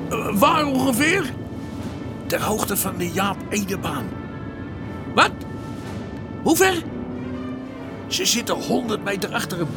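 An actor playing an eager, cheeky police sergeant in a radio drama, excitable and informal. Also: male voice, sits high, slow, long pauses, music in the background, heavily acted. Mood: urgent.

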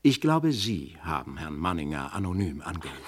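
A man's voice: very deep voice, sing-song, brisk, husky, exaggerated voice. A man acting in an old German radio crime drama as a shrewd police inspector, probing, commanding and emphatic. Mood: pressing, accusing. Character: shrewd, insistent police inspector interrogating a witness.

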